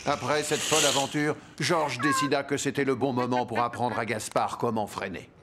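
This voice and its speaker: A man narrating a children's cartoon in a lively, playful storybook manner. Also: mid-range voice, normal speed, background noise. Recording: music underneath.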